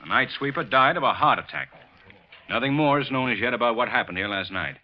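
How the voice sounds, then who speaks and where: An actor playing a police detective in an old radio thriller, deceptively casual, insinuating and slyly suspicious. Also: male voice, mid-range voice, fast, close to an everyday voice. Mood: official. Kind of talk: old-time radio suspense acting. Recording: muffled, noisy vintage radio recording.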